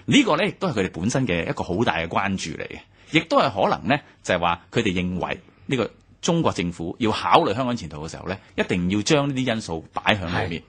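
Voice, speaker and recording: 低沉沙聲嘅香港男人. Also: male voice, fairly low pitch, husky. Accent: Hong Kong Cantonese. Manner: brisk affirmations, lively explaining. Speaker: radio co-host. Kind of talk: radio history discussion. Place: radio studio.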